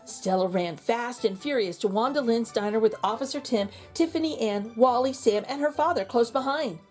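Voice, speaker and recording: A woman's voice: on the high side, moderate pace, darkish tone, soft background music. A woman reading a children's storybook aloud as host, narrating expressively for young listeners.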